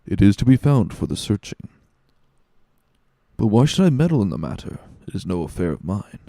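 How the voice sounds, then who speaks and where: Casting: male reader as manipulative seafaring villain, calculating and glib, low-ish mellow voice, classic storybook drama. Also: big pitch swings, moderate pace, vocal fry, no room sound, full character voice. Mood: sly, dismissive. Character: sly, scheming ship's supercargo, smooth manipulative villain.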